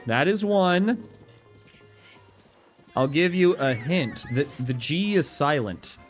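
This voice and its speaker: Young male streamer, relaxed game commentary, lively pitch, faint music underneath. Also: slow pace. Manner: chatty, reads chat aloud, laughs easily. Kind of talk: casual gameplay commentary.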